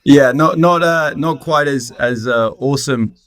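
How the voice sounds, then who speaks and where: A man's voice: medium pitch, a little slow, a crowd in the background. A man of about thirty with a southern English accent, chatting informally and self-deprecatingly as an interview guest. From England, near Oxford. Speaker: guest, student. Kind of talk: casual personal chat.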